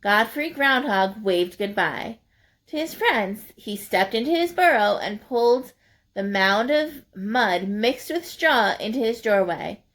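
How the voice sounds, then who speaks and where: Slow, lively woman reading to children. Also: fairly high pitch. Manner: sing-song, explains to young listeners. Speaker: teacher reading aloud to children. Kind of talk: picture-book read-aloud.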